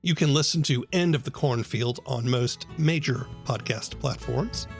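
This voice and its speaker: A man working as a podcast host, asking questions and presenting in a measured, prepared style. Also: mid-range voice, creaky, music in the background. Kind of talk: interviewer and scripted podcast narration.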